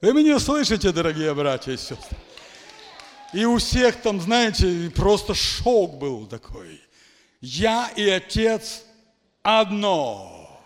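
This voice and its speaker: A man preaching in a church hall, his higher voice ringing out with a long reverb. He swings widely in pitch, dropping to hushed tones and then shouting his exclamations. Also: very animated, takes it slowly. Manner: emphatic, dramatic swings from quiet to shouting. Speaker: pastor. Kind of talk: charismatic sermon.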